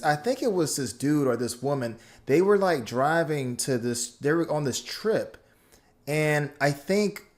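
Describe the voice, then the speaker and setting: A man hosting a reaction stream, chatting casually with lots of slang and swearing and telling stories in a loose, rambling way. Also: mid-range voice. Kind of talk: casual reaction chat, reading viewer comments.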